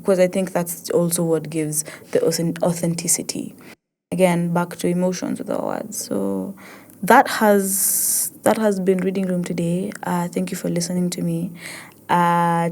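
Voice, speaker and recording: A young woman with an East African accent speaks in a slightly low register. She hosts as a poet, reflecting out loud in a loose, informal way. Her delivery is expressive, with phrases often repeated while she searches for the next word. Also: moderate pace. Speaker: host and poet. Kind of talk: casual reflective monologue with code-switching.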